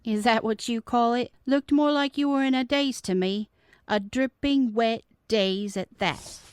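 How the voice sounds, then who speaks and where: A woman plays a sassy sweetheart from a noir radio play. Her voice is high, smooth and clear, teasing and quick-witted. The sound is close and dry. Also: no room sound, close to an everyday voice. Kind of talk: noir radio drama acting.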